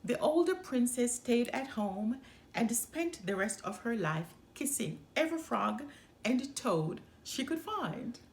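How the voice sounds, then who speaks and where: Slow, very expressive woman storyteller, slightly creaky. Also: fairly high pitch. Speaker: storytime host. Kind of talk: expressive children's storybook narration.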